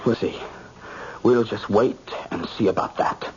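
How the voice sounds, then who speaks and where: A man's voice: lowish voice, a little slow, natural voice. An actor playing a bitter, obsessed young man, a stubborn and accusing grown son, in old-time radio style. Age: young adult. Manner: insistent, accusing. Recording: muffled, hissy old radio recording.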